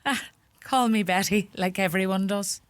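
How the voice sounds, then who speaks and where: An actress playing a bossy, sharp-tongued rural mother with a Donegal Irish accent in a radio play. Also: medium pitch, normal speed, no room sound, lightly acted. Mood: welcoming. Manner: scolding, animated, gossipy.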